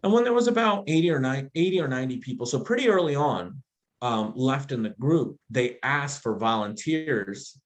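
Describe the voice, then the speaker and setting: An animated man guest in casual conversation, spinning a long personal story. He laughs easily and stumbles into quick restarts. His voice sits at an ordinary middle pitch. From Afghanistan, raised in the United States. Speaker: podcast guest, entrepreneur.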